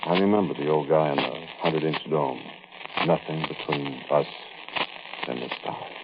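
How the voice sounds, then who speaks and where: A tired old-radio crook telling his tale, deep and conversational, dull hissy sound. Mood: brooding, confiding. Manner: conversational, halting, colloquial storytelling. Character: world-weary male bank robber recounting his crime. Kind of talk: 1940s radio drama first-person narration. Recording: muffled, noisy vintage radio recording.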